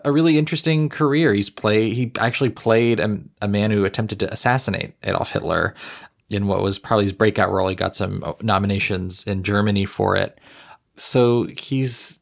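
A man with a low, gravelly voice hosts an interview. He is chatty and often restarts his phrases as he speaks. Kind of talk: interview and podcast chat.

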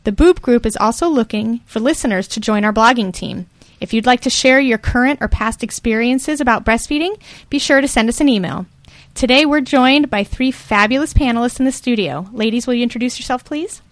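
A woman hosting a podcast, reading the show's introduction in a bright, friendly announcer manner. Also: a little high, fairly quick, dry recording. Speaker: podcast host. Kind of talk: scripted show introduction.

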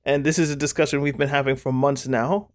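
A man's voice: medium pitch, fairly even intonation, brisk. A man co-hosting a casual football podcast, thinking aloud in a rambling way with many fillers. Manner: thoughtful, rambling, lots of "like". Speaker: podcast co-host.

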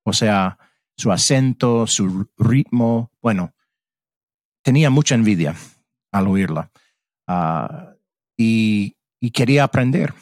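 A middle-aged American man with a fairly low voice and an American English accent tells his story slowly. He pauses often to find his words in his second language, and the sound is close and dry with no room around it. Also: animated, a little mellow, dry recording. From Mississippi, USA. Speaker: podcast guest. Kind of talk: casual interview chat.

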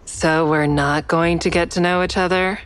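A woman playing a guarded, tense heroine in an audio drama, telling her story in a measured, deliberate, suspenseful way. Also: fairly low pitch, fairly even intonation, smooth, close to an everyday voice. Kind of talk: first-person audio drama narration and dialogue.

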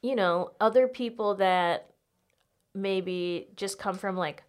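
A woman's voice: medium pitch, slow, clean tone. A young woman chatting casually as a podcast guest, earnest and informal, with slang and some swearing. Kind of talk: casual personal conversation.

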